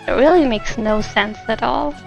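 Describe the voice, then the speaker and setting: A woman's voice: a little high, normal speed, gravelly, darkish tone. A giggly woman chatting casually with viewers while she livestreams a game. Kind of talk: casual chat with viewers during gameplay. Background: game music.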